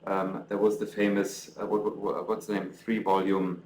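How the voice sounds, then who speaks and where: A middle-aged man speaks English with a German accent, pausing often with ums and restarting his sentences. His dry, self-mocking remarks come through clearly. He stands some way from the mic in a roomy, echoing lecture hall. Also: sits low, monotone, a little mellow, distant mic, a little hiss or hum. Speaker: author presenting his book. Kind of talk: lecture talk, then interview answers. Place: lecture room.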